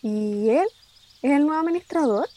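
A woman's voice: high voice, expressive, normal speed, warm tone, no echo. A woman with a Chilean accent playing an inquisitive, teasing young woman in a radio drama. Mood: calm. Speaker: actor. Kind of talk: radio drama acting, casual dialogue.

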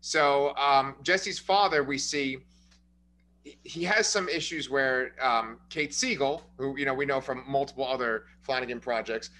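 A man casually reviewing a movie as a podcast co-host, explaining the plot in a measured way. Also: mid-range voice, sharp tone.

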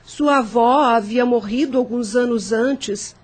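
High, husky-voiced elegant landowner's widow. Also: female voice, measured pace. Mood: wistful. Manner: formal, theatrical, expressive delivery. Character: elegant mature landowner's widow, inquisitive, gracious. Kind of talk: radio drama acting.

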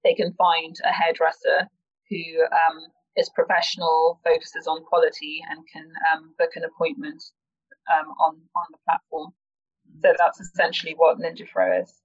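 A woman's voice: low voice, little pitch movement, normal speed, husky, dull audio. A woman talking casually as a guest in a podcast interview. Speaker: interview guest, company founder.

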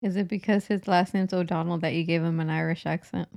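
A woman's voice: lowish voice, fairly even intonation, quick pace, gravelly, a little mellow. A woman co-hosting a casual chat, mostly listening, with short reactions and wry jokes. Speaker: podcast co-host.

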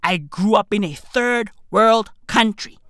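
A comedian from Africa, delivering an emphatic, repetitive rant to camera, heavy with swearing and dropping at times to a whisper. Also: male voice, high voice, unhurried, pushed and bright, dry recording, clean studio sound. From South Africa. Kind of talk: ranting monologue to camera.

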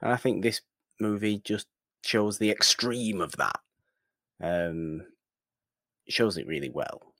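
Deep-voiced man co-hosting a movie podcast. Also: slow, long pauses, a bit bright, no room sound. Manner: emphatic, repeats words and phrases. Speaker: podcast co-host. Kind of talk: casual movie discussion.

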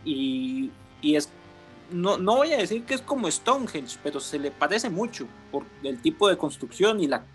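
A man's voice: high-pitched, very animated, moderate pace, soft background music. Hesitant Costa Rican man. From Costa Rica. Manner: hesitant, with many "eh" fillers and restarts. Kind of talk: casual chat.

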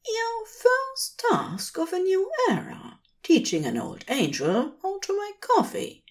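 A woman's voice: very high voice, wildly swooping pitch, slow, voice pushed up, heavily acted. A woman voicing a fussy, tender old male angel who speaks in prim, wordy, old-fashioned phrases. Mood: happy, teasing.

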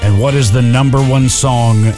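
A man's voice: medium pitch, steady tone, moderate pace, music in the background. Dry, teasing man co-hosting a podcast. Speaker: podcast co-host. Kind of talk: casual banter podcast. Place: home podcast studio. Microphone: studio mic.

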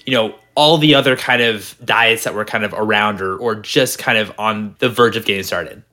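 A man's voice: lowish voice, moderate pace, sharp tone. A man hosting an interview, asking long, hedging questions full of fillers. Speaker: podcast host.

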